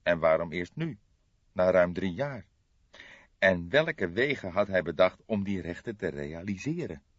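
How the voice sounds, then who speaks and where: A man reading a detective novel aloud as scripted narration, in a measured storytelling manner. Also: deep voice, animated, breathy edge. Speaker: audiobook narrator. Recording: dull lo-fi, boxy roomy sound.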